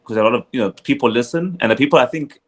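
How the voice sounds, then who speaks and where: A man hosting an interview podcast, supportive and giving steady murmured agreement. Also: medium pitch, quick pace. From Zimbabwe. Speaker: podcast host. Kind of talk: casual interview.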